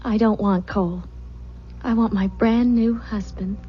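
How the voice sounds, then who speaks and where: An actress playing an ardent, emotional young bride in an old-time radio drama. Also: female voice, medium pitch, fairly even intonation, unhurried, slightly rough. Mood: tender, amorous. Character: ardent young bride, romantic and easily terrified. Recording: dull, noisy old radio recording.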